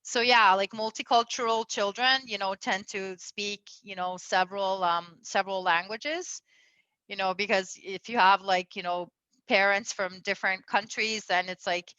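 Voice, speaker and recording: Woman, raised in Venezuela, relationship coach, bright tone, rambling fillers, slightly muffled sound. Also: mid-range voice, fairly even intonation, normal speed, no echo. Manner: chatty, lots of fillers, laughs often. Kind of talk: casual advice talk.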